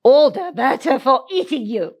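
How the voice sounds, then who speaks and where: A woman's voice: high voice, expressive, moderate pace, husky, pitched above the performer's own voice, exaggerated voice. A woman voicing a sly, hungry storybook wolf with a silly, mock-sweet manner. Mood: silly, scheming.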